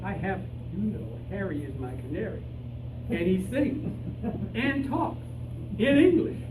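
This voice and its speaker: A man's voice: high-pitched, big pitch swings, unhurried. A man playing an anxious, naive amateur detective in an old-time radio comedy, hesitant and earnest. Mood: indignant. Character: anxious, naive male amateur detective hunting his lost canary. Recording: echoey room from far off, noisy.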